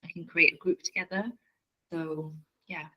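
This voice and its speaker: A woman's voice: on the low side, level tone, normal speed, lo-fi sound. A woman guest on a podcast, talking about her healing practice in an earnest way and repeating words as she searches for them. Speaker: podcast guest, family constellations facilitator. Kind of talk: casual interview chat.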